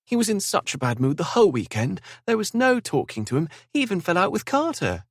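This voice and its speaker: A man voicing G, an impulsive, chatty young woman who is quick to apologize and cry. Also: sits high, huge pitch swings, no echo, studio quality, pitched above the performer's own voice, full character voice. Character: young woman G, impulsive, gushing, easily tearful.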